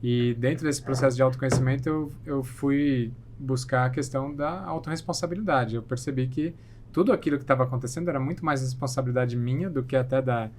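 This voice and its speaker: A middle-aged man with a Brazilian accent gives conversational interview answers at a medium pitch. He often rephrases as he goes, and there is faint noise behind him. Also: quick pace, darkish tone. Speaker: podcast guest, plastic surgeon.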